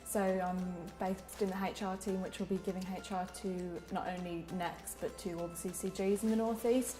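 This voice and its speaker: A woman's voice: mid-range voice, steady tone, moderate pace. A young woman from Birmingham, a graduate trainee, answering interview questions conversationally with "like" as a filler. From Birmingham, England. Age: young adult. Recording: roomy sound with music behind.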